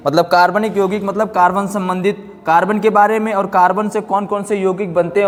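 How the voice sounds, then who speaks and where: High-pitched male teacher, heavy reverb. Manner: explanatory, repeats check-words and phrases; mixes in English terms. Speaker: physics teacher. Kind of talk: online exam-prep class talk. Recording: long hall-like reverb.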